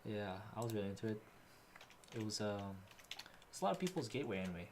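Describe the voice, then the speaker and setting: A man co-hosting a casual comedy stream, rambling through deadpan jokes. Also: lowish voice, fast talker, noisy background. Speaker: podcast co-host.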